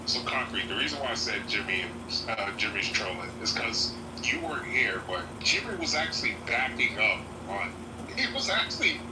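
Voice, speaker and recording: Quick-explaining man in a noisy echo. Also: medium pitch, sing-song. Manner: quick, explanatory, repeats himself. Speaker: debate participant. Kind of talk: heated voice-chat debate. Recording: echoey, distant, noisy playback.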